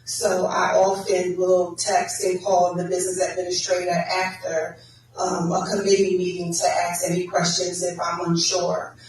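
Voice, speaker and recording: A council member speaks at a public forum in a low, flat, measured voice. She is picked up from far off, and her voice echoes heavily around the hall over faint noise. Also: soft background music. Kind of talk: candidate forum answers. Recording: echoey hall, distant mic.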